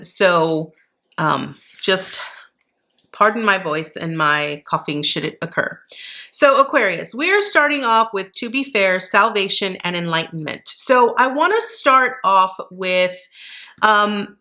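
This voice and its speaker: Slow, bright-voiced woman reading tarot cards. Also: mid-range voice, lively intonation. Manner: reflective and encouraging, with many fillers. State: rough voice with a slight cough. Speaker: psychic tarot reader. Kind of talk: monthly tarot card reading.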